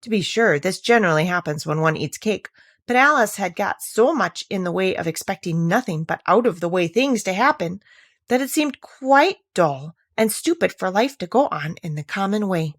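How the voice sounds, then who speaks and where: A woman reading a children's classic aloud as a storybook narrator, with expressive, deliberate emphasis. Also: mid-range voice, no room sound, clean studio sound. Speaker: audiobook narrator.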